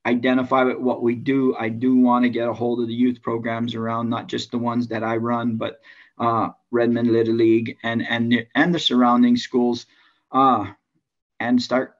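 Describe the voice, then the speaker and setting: A man from Hawaii with a Hawaiian accent, a baseball coach talking casually to a club meeting over a video call, halting with many restarts and fillers. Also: medium pitch, completely flat delivery. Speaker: high school baseball coach. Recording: dull lo-fi sound.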